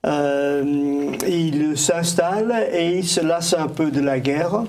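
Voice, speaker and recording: A man talks through history as a studio guest, in a language that is not his mother tongue. His voice sits somewhat high, and he moves at an unhurried pace. He hesitates constantly, filling the gaps with fillers and restarts. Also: darkish tone. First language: not French. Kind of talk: studio discussion.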